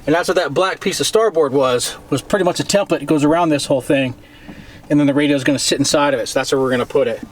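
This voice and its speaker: Plain-spoken American man, slightly high-pitched. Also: fast. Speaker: DIY boat maintenance video host. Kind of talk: casual hands-on how-to narration. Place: outdoors, on a boat parked by a driveway. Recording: faint outdoor background noise.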